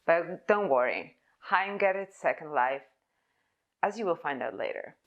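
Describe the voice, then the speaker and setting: Low-voiced young woman. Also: lively intonation, unhurried, dull audio. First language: Polish. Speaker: conference speaker, type designer. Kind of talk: prepared conference presentation.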